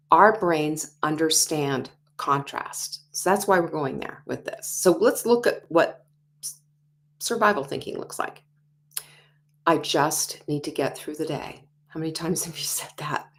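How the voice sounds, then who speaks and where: A woman in her sixties talks straight to her listeners as the host of a motivational show. Her voice sits a little low, and she brings her points to life by acting out people's doubts in their own voices. Now and then she drops to a whisper for emphasis. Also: measured pace. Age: 60s. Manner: animated, acts out quoted inner voices, drops to whispers. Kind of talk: motivational talk show monologue.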